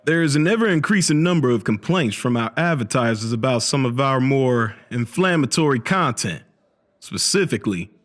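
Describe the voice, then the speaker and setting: Actor as weary older newspaper editor. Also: male voice, mid-range voice, fairly bright tone, lightly acted. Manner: measured, apologetic, formal. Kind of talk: comic dub acting.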